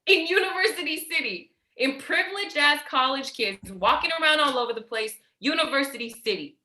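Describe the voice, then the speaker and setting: An actress playing a tired, protective, foul-mouthed older sister in a naturalistic staged play reading, hesitant, guarded and blunt. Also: female voice, sits high, expressive, a little fast, sharp tone, reverberant. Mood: defensive, irritated.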